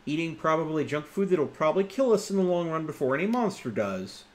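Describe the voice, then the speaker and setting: A man's voice: on the high side, expressive, fairly quick. A man playing a tabletop roleplaying game, talking casually with the other players.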